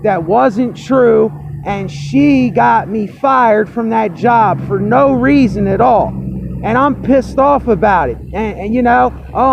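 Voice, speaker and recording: A hoarse man with a cracking voice ranting angrily to camera, repeating himself and swearing. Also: high voice, slight background noise. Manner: fired-up, repetitive, swearing. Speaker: vlogger. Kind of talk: angry personal rant to camera.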